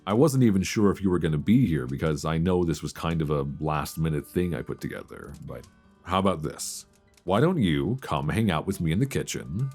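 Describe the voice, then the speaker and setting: Casting: man to play affectionate male old friend for ASMR romance roleplay; low voice, very animated intonation, teasing banter, music behind. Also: heavily acted. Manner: teasing, stammering, chuckling banter. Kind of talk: intimate romantic ASMR audio roleplay, casual and conversational.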